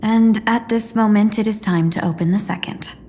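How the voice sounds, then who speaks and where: A woman voicing a gracious, tactful female envoy in a video game, polite, formal and measured. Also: medium pitch, dark tone, close to an everyday voice. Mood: calm, tactful. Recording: music under the voice.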